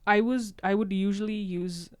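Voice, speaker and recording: A woman's voice: medium pitch, steady tone, moderate pace, clean tone, no room sound. A woman social worker talking casually on a podcast, often tagging her points with "right?". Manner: conversational, leans on "right?" tags.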